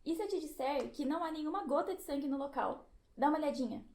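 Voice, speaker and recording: A woman's voice: high voice, quick pace, a little mellow. A woman playing an upbeat, instructive science guide in a theatrical educational audio drama. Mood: upbeat, explaining. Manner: didactic, theatrical. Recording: roomy, echoey sound.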